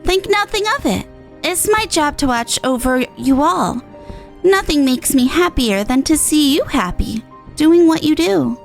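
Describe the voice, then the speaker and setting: A woman's voice: sits high, lively intonation, a little slow, vocal fry, voice pushed up, heavily acted. A woman voicing a small, motherly innkeeper from a video game, caring, folksy and encouraging. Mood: fond. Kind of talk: video game dialogue read in character. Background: game music.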